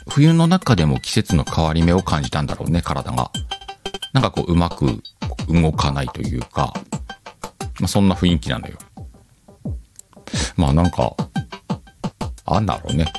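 A man hosting a casual solo chat show, rambling and self-deprecating, often laughing at himself, with music behind him. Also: sits low. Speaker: host of an audio show. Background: background music.

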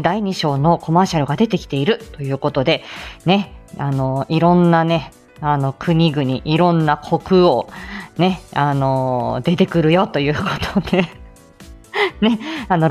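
Casting: female livestream host, bubbly and giggly, very lively intonation, casual chat over faint music. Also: low voice. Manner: bubbly, giggly, self-deprecating. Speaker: host of a live morning audio stream; speech-language therapist. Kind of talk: casual chatty livestream, greeting listeners.

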